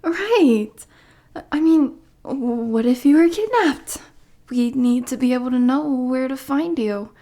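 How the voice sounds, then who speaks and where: A woman's voice: high-pitched, expressive, slow pace, mellow, exaggerated voice. A woman playing a dorky, lovestruck bodyguard in an ASMR roleplay, bashful and stammering, muttering asides as she clumsily hides her obsession. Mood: eager.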